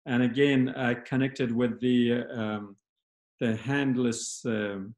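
Slow, measured man on a roomy video call. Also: mid-range voice, steady tone, darkish tone. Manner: slow, measured, many pauses and fillers. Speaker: panel guest. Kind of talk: reflective discussion on a video call.

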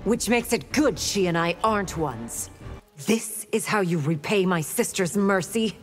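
A woman's voice: medium pitch, animated, measured pace, long reverb tail, faint music behind, close to an everyday voice. A woman voicing a haughty princess commander in a video game, firm and scornful. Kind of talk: video game character dialogue.

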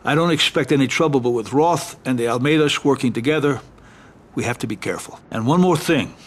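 Husky, careful American mafia adviser acted by a man. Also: mid-range voice, natural voice. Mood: calm. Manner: measured, businesslike. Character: calm, careful middle-aged mafia adviser. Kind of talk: dramatic video game acting.

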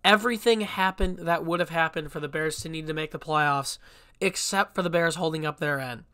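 Young male podcast host, congested from a cold, fairly high and bright, casual and blunt. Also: a little fast, smooth. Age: young adult. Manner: chatty and blunt, with frequent restarts. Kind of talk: casual solo sports podcast.

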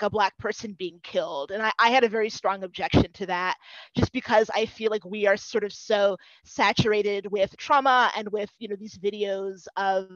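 A young woman speaking thoughtfully and haltingly as a panelist, on a headset during a video call. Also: mid-range voice, no echo. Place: living room at home, video call. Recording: dull, muffled video-call audio.

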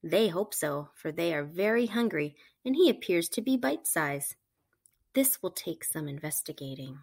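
A woman reading a picture book aloud to children, with animated, emphatic storytelling delivery. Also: fairly low pitch, normal speed, vocal fry. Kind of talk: expressive read-aloud narration for children.

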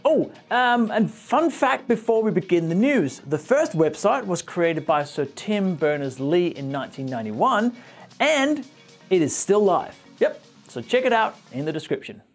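Animated male tech news host, music behind. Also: sits high, a little slow. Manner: animated, playfully wry. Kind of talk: scripted tech news presenting to camera. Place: small indoor studio. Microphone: lapel mic.

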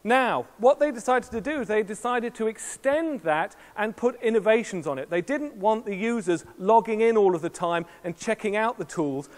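Jokey high-voiced man presenting on stage. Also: normal speed, hardly any pauses. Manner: lively, jokey showman with sound effects. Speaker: keynote presenter. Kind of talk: live product demo on stage. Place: large conference hall stage. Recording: long hall reverb.

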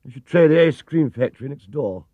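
A man's voice: medium pitch, sing-song, moderate pace, no room sound, phone-quality sound. A man voicing a sarcastic, stern uniformed gatekeeper. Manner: sarcastic and sneering.

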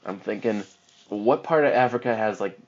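A man's voice: on the low side, moderate pace. A man co-hosting a film podcast, rambling casually through a movie recap. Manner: rambling, animated, slips into silly put-on voices for characters. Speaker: podcast co-host reviewing films. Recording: dull lo-fi podcast audio.

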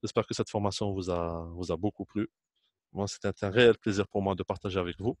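A man in his thirties with an Algerian accent talks quickly and informally to the camera. He switches between languages and repeats points for emphasis. The sound is dry and close. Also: sits low, steady tone, no room sound. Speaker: trainer speaking to students.

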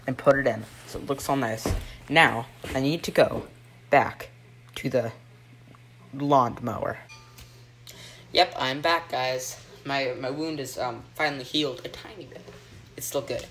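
A teenage boy hosting a joking homemade cooking show in a home kitchen, rambling deadpan through mock instructions with plenty of ums, filmed on a phone by a friend. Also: medium pitch, unhurried, pauses often. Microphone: phone camera held by a friend. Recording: noisy home phone video.

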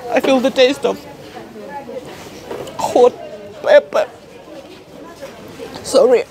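A woman's voice: sits high, very slow, dark tone, a faint crowd. A middle-aged woman whose first language is Russian, talking in a casual travel vlog to her phone with many fillers and asides to viewers. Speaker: vlogger. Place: busy indoor market hall. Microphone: phone at arm's length.

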